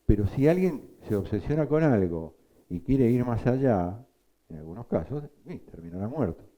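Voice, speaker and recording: Dark-voiced lecturer, man. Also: medium pitch, very animated, low-quality recording. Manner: slow, explanatory, asks the class questions. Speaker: psychoanalysis teacher.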